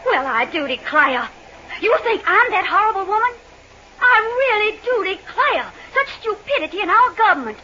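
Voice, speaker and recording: A woman's voice: extremely high pitch, very animated, moderate pace, pushed and bright, exaggerated voice. An actress playing an indignant, easily frightened lady train passenger with a Southern American accent, in old radio drama style. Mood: huffy outrage. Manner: huffy, theatrical, exclaiming. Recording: dull old radio recording, faint noise.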